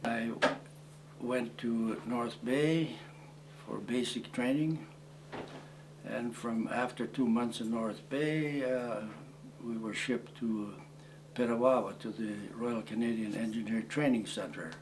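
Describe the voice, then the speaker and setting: A man in his eighties, originally from Croatia, recalling his past in an oral history interview, speaking haltingly with many fillers and restarts. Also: medium pitch, fairly even intonation, slight background noise, low-quality recording. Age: 80s. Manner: plain, matter-of-fact storytelling. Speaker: war veteran interviewee.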